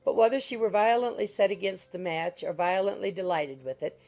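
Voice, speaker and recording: A woman's voice: medium pitch, fairly quick, darkish tone. A woman narrating a classic novel as a scripted audiobook.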